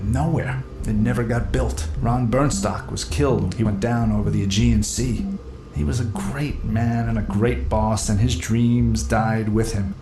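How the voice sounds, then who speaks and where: A man's voice: fairly low pitch, steady tone, measured pace, roomy sound, music in the background, poor audio. An actor playing a rugged middle-aged treasure hunter and boat captain in an audio drama, telling his story in a casual, reflective way. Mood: wistful. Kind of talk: naturalistic full-cast audio drama acting.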